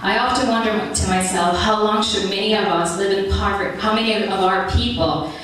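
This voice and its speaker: A young woman from Ethiopia giving an earnest, measured prepared speech at a public meeting. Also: medium pitch, steady tone, fairly bright tone, a little hiss or hum. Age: young adult. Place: large meeting hall. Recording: echoey hall recorded from far off.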